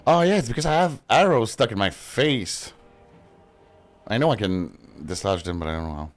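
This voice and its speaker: A man's voice: lowish voice, lively intonation, normal speed, faint music behind. A young man from Quebec whose first language is French, speaking English with a Quebec French accent in a rambling, profane, laughing casual chat while he plays a game. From Quebec, Canada. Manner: rambling, swears a lot, laughs often. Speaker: gaming streamer.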